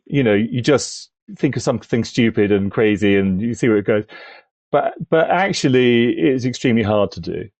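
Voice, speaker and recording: A man talking as a podcast panellist, thoughtful and halting, building his points gradually in conversation. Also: medium pitch, expressive, darkish tone, dry recording. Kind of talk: conversational film discussion.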